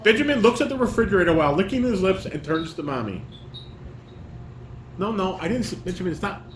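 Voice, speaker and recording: A fairly high-voiced man acts as a dad coaching a scene, reading out directions and correcting as he goes. He speaks in an instructive, informal way, recorded in an echoey room with some noise. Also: expressive, fairly quick. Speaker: father directing a family table read.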